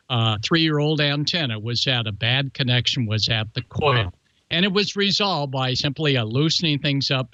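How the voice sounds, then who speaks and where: Bright-voiced man on dull lo-fi audio. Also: a little high, no echo. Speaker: show co-host. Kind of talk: explanatory show segment with handover.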